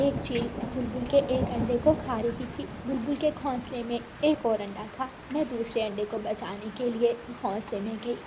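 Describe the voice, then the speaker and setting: A woman's voice: fairly high pitch, steady tone, normal speed, hardly any pauses, background noise, natural voice. A woman voicing a brave, clever mother sparrow who speaks earnestly in a cartoon story.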